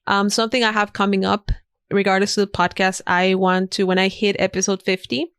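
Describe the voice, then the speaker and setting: A woman's voice: mid-range voice, level tone, a little fast, fairly bright tone, smooth, dry recording, clean studio sound. A woman whose first language is Spanish, a podcast guest chatting casually and eagerly recommending things with emphatic repeats. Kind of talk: casual interview chat. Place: podcast studio.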